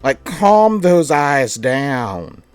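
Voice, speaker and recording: Woman streamer reading a game out loud, slow pace but really swingy pitch, music behind her. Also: big pitch swings, clean tone, no room sound. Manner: amused, exasperated asides between deliberate reading. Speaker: streamer reading a visual novel aloud. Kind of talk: livestream playthrough, reading and reacting casually.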